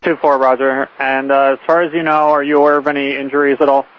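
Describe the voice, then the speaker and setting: Muffled radio, even-toned American man. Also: mid-range voice, fast, clean tone. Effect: radio transmission. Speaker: airport tower air traffic controller. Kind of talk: operational radio coordination. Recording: muffled, faintly noisy radio channel.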